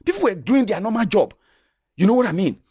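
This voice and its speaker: A man's voice: high voice, big pitch swings, brisk, a little mellow, breathy edge, no echo. A Nigerian man with a Yoruba accent giving passionate opinion commentary, repeating and restarting phrases as he argues.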